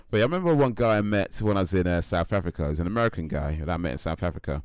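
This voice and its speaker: A man's voice: low voice, lively intonation, fast talker, a little mellow, clear, resonant tone, no room sound. A young man from the UK, chatting casually on his livestream, laid-back and often laughing, with lots of fillers and repeats. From United Kingdom. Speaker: livestream host. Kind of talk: casual chat with stream viewers.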